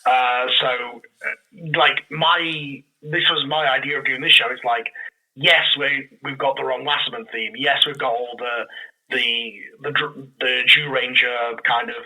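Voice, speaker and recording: A man talking casually as an interview guest on a podcast, animated and acting out his own reactions as he explains his creative work. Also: mid-range voice, measured pace, rough recording.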